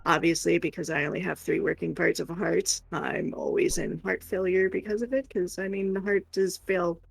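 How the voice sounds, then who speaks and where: A woman's voice: lowish voice, fairly even intonation, normal speed, gravelly, husky, no echo. A woman chatting casually and candidly as a livestream co-host, rambling informally.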